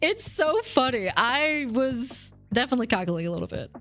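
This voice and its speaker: A woman streamer chatting casually and thanking viewers, her voice slightly rough from a recent cough. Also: sits high, big pitch swings, normal speed, a bit bright, faint music behind. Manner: chatty, rambling, reads chat and thanks subscribers. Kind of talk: casual livestream chat.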